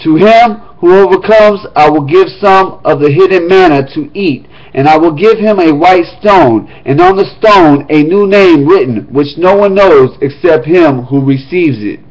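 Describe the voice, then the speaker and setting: A man's voice: a little high, measured pace, hardly any pauses, a little mellow, muffled recording. A man reading the Bible aloud in an emphatic, declamatory manner, with casual touches. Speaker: scripture reader.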